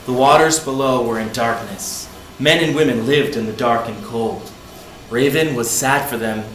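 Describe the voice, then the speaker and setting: A man's voice: medium pitch, moderate pace, a bit bright. A man narrating a folk tale for children in simple, short phrases. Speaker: storyteller. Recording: echoey room, faint background noise.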